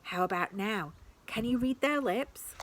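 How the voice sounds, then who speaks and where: A woman's voice: medium pitch, expressive, measured pace. A woman voicing Sasha, a curious and impulsive young boy in a storybook reading. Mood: eager.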